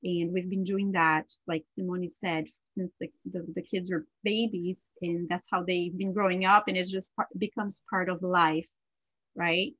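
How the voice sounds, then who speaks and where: A woman's voice: fairly low pitch, fairly even intonation, measured pace, fairly bright tone, dry recording, muffled recording. Chatty woman, native Portuguese speaker. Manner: chatty, with many fillers and frequent laughs. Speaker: podcast co-host. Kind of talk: casual conversational chat.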